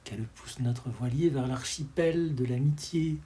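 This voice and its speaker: Husky man reciting poetry slowly. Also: mid-range voice. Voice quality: breathy, husky. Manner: measured, dramatic phrasing that often drops to a breathy hush. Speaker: poetry reader.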